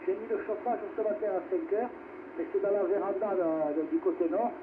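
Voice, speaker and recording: Homme à voix aiguë, radio nasillarde et bruitée. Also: male voice, high-pitched, brisk. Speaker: amateur radio operator. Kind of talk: casual chat over radio. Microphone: heard through a radio receiver speaker. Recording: narrowband noisy radio transmission.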